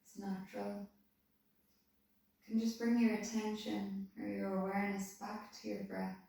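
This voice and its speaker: A woman calmly leading a guided body-scan meditation, giving soothing, unhurried instructions. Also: mid-range voice, vocal fry. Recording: very echoey room, voice distant from mic.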